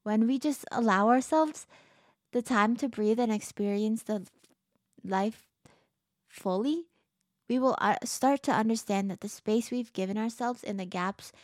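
A teenage girl hosts her own podcast and chats in an upbeat, rambling way, full of "like" and little restarts. Her voice is a touch tired and lower than usual. It is recorded close and dry, with a mid-pitched tone. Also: no echo. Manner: bubbly, upbeat, lots of "like" fillers. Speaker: podcast host. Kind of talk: casual solo podcast chat.

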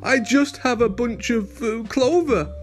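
Man doing a high stammering little rabbit, nervous, timid, storybook critter, slow delivery. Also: faint music behind, full character voice.

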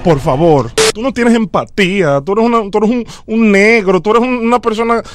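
Male prank caller, Dominican accent, high voice, dramatic, persistent, imploring delivery. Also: normal speed, dry recording, studio quality. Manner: insistent, pleading, dramatic. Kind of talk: phone prank, improvised pleading conversation.

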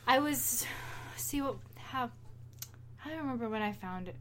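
A woman's voice: fairly high pitch, lively intonation, moderate pace, fairly bright tone. A woman co-hosting a podcast, chatting casually and retelling stories in an informal, rambling, conversational way. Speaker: podcast co-host.

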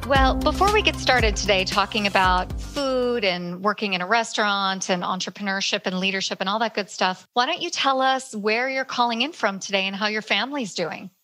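A woman's voice: mid-range voice, brisk, few pauses, bright, forceful tone, dry recording, soft background music. A woman hosting a podcast, reading her intro and chatting with a guest in an upbeat, conversational way. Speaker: podcast host. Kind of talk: scripted intro and casual interview.

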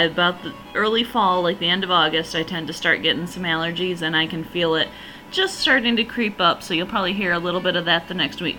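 A woman hosting her own podcast in a chatty, enthusiastic way, her voice slightly raspy and hoarse from allergies. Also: lowish voice, bright, forceful tone, low-quality recording. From northern region. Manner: chatty, enthusiastic, rambling with fillers. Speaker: podcast host. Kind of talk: casual solo podcast review.

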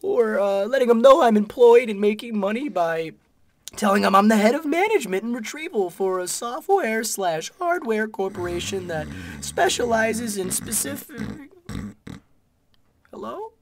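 A man's voice: high-pitched, lively intonation, normal speed, crowd noise. A man playing a boring, insecure and mildly exasperated caller in a comedy radio play, meek, hesitant and prone to rambling.

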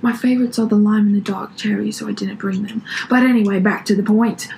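A young woman co-hosting a casual podcast, telling stories in an animated, profane way. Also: medium pitch, fast talker, gravelly, slight background noise, rough recording. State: drinking. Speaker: podcast co-host. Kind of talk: casual podcast chat, storytelling.

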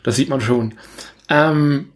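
A man's voice: mid-range voice, slow pace. A man co-hosting a casual film review podcast, talking like an enthusiastic fan who often stops to correct himself. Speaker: podcast co-host reviewing films.

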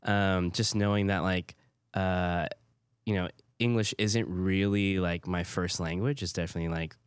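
A man's voice: low voice, steady tone, normal speed, smooth, no room sound, clean studio sound. A young man from Hawaii, co-hosting a podcast and telling personal stories candidly with lots of "like.". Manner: candid, filler-heavy storytelling with frequent "like". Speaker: podcast co-host. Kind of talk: casual chat.

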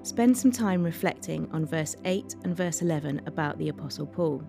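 Low-voiced woman reading a devotional, mellow tone. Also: expressive, moderate pace, vocal fry. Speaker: devotional reader. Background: faint music.

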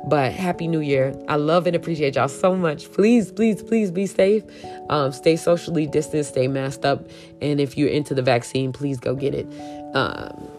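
A woman podcast host with a raspy voice, talking casually and emphatically, repeating key points. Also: sits low. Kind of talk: casual solo podcast talk.

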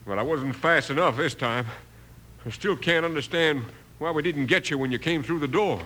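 An actor playing a nervous, grumbling crooked sheriff and hired gun in an old radio western, with folksy, protesting speech. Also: male voice, a little high, moderate pace, sharp tone. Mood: whining, grudging. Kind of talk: 1950s radio western acting. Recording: hissy old radio recording with clicks.

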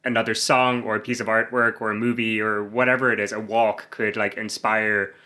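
A man's voice: lowish voice, flat delivery, sharp tone. A young man hosting an interview podcast, talking in an upbeat, agreeable casual way. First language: English. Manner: upbeat, agreeable, quick affirmations.

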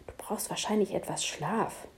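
A woman voicing a calm, protective young male shapeshifter in a storybook, speaking urgently and hushed. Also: medium pitch, normal speed, in a whisper, lightly acted.

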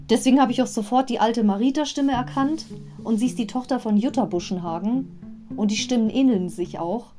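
A woman hosting a podcast, retelling an audio play in an animated, chatty way with asides. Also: fairly high pitch. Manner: animated fan commentary with asides. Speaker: podcast host.

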